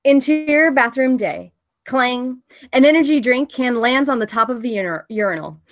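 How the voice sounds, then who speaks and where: A woman's voice: sits high, moderate pace. A woman reading the stage directions of a film script as scripted narration at a table read.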